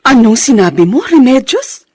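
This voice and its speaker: A woman with a Filipino accent playing a firm, caring middle-aged friend in a radio drama, her voice husky. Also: sits high, very animated, moderate pace, darkish tone, faint music behind. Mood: shocked. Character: earnest, devout middle-aged female confidante, firm and caring.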